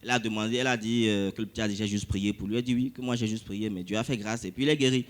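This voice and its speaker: A man with a West African French accent telling a church testimony, animated and full of hesitations. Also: fairly low pitch, rapid-fire. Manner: animated storytelling with frequent hesitations. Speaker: church member giving testimony.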